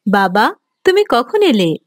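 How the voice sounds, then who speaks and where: A woman's voice: fairly high pitch, big pitch swings, slow, full character voice. A woman playing a dutiful, repentant young mother who speaks earnestly and deferentially to her father in a cartoon. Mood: pleased. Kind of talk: Islamic moral cartoon dialogue.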